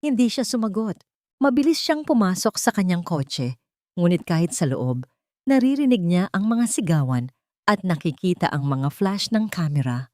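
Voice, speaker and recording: A woman's voice: lowish voice, lively intonation, moderate pace, darkish tone, no room sound, clean studio sound. A woman with a Filipino accent reading a scripted, dramatic romance story as its narrator.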